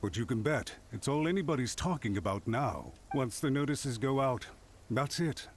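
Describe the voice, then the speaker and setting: A man's voice: medium pitch, animated, moderate pace, a little hiss or hum, lightly acted. A man voicing a haughty, scheming clan official in an anime-style game, formal, pompous and emphatic. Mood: defiant.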